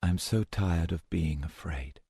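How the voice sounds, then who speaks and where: A man's voice: deep voice, unhurried, creaky, dark tone, breathy edge, no room sound, clean studio sound, exaggerated voice. A man voicing a frightened, weary young woman who speaks in a hesitant, strained way. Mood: anguished.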